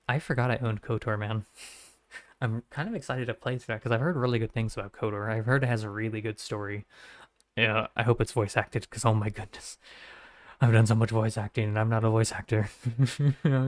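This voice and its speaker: A man streaming games from home who talks in a flat, rambling way and often corrects himself. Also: lowish voice, a little fast, no echo. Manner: monotone, rambling, self-correcting. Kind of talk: casual stream sign-off chat.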